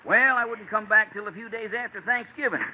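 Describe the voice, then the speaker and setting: Male actor as blunt working-man exterminator, very high voice, tinny hissy vintage radio comedy. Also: steady tone, brisk, natural voice. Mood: emphatic warning. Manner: blunt, ungrammatical tough talk. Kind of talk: old-time radio comedy acting. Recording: noisy, tinny old radio recording.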